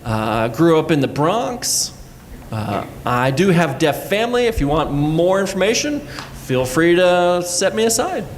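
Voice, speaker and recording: A man's voice: a little high, sing-song, a little slow. A man from Puerto Rico speaking on a live panel, conversational and full of fillers. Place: college event room. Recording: echoey room, mic at a distance, background noise.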